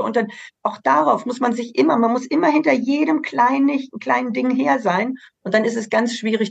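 A woman representing an association on a panel discussion, her voice with a gravelly creak. She explains earnestly and at length, adding detail after detail. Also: fairly high pitch.

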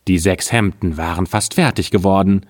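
A man reading an old fairy tale aloud in a calm, measured storytelling manner. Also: deep voice, no room sound, clean studio sound.

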